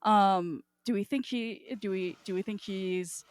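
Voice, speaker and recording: A woman hosting a podcast, chatting casually about fiction in an animated, halting way with frequent rephrasing. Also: medium pitch, smooth, no room sound. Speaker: podcast host.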